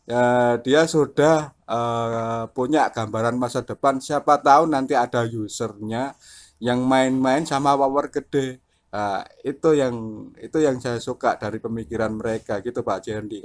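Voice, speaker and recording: A man chatting casually about technical matters as an enthusiastic radio hobbyist. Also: medium pitch.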